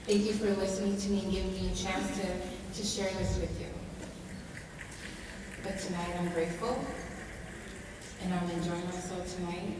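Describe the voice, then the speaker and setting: Woman contestant, earnest heartfelt answer, slow emphatic words, big echoey hall, loud noise. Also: lowish voice, little pitch movement, far from the mic. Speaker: pageant contestant. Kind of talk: pageant speech and answer. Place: large indoor hall with audience.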